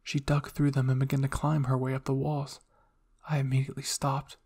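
A man reading fan fiction aloud as dramatic first-person story narration, moving between hushed and intense delivery. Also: mid-range voice, completely flat delivery, a little fast, breathy edge. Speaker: audio fan fiction reader.

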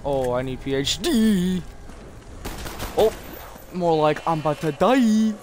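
A man's voice: on the high side, expressive, slow pace. A man doing casual, rambling, self-deprecating gameplay commentary with frequent swearing and a slightly sore throat. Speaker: gaming video host. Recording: game gunfire and noise behind voice. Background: zombie shooter gunfire and ambience.

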